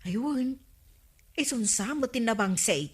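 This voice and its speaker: A woman's voice: fairly high pitch, animated, measured pace, husky. A woman with an Ilocano accent playing a gossipy, complaining neighbour in a radio comedy drama. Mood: gossiping.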